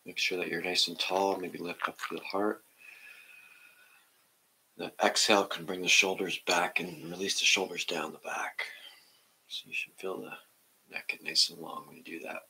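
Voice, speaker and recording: A middle-aged man calmly guiding a meditation in a gentle, measured way. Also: low voice, gravelly, breathy edge, low-quality recording. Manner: calm, measured. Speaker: meditation guide. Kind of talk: gentle guided meditation and reading aloud. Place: indoor room at home, seated on the floor.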